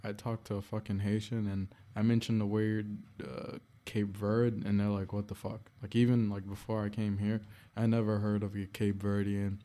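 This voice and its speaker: A man's voice: lowish voice, flat delivery, normal speed, hardly any pauses, mellow. A man hosting a podcast, chatting casually with crude, joking banter. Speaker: podcast host. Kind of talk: casual chat.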